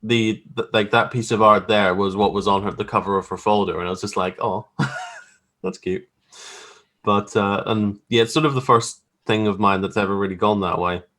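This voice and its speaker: Male interview guest, lowish voice, casual and loose, feeling his way through explanations of his creative work. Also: fairly even intonation, moderate pace, a bit bright. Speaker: guest graphic artist. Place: remote video call.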